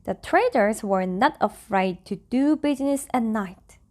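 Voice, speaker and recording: A woman's voice: fairly high pitch, animated, a little slow, a little mellow. A woman from South Korea, a native Korean speaker, reading stories aloud and chatting in simple, careful non-native English. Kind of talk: soft storybook reading and casual chat.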